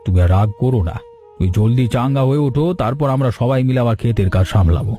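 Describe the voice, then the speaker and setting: A man's voice: mid-range voice, fast talker, mellow, faint music behind, natural voice. A man voicing an affectionate old father-in-law, an earnest village farmer in a cartoon story, speaking in a fatherly, sentimental way. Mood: reassuring.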